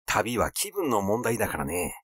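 A man voicing a rash, glib, excitable schemer in an audiobook, talking in a blunt, teasing, effusive way. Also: fairly low pitch, moderate pace, slightly rough, no room sound, clean studio sound, voice pushed down, heavily acted. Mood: excited, enthusiastic. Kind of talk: literary audiobook character dialogue.

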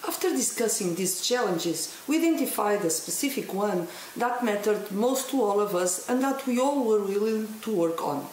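A woman from Portugal, a maths teacher, giving a scripted presentation in a clear, even way. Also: medium pitch, normal speed, few pauses. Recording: echoey room with faint hiss.

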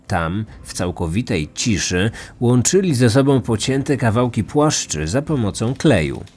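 A man's voice: medium pitch, lively intonation, a little slow, a little mellow, breathy edge. A man narrating a scripted true crime podcast as its host.

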